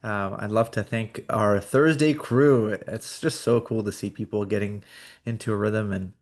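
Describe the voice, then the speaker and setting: A man with a fairly low voice hosts an online case conference over a video call. He talks in an upbeat, chatty way, full of fillers and restarts. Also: steady tone, moderate pace. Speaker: host.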